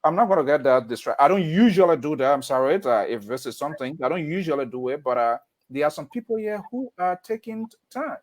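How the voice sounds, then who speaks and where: A man hosting a radio interview, lecturing listeners in a stern way with sudden emphatic jumps in pitch. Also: lively intonation, fast talker, few pauses. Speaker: radio host.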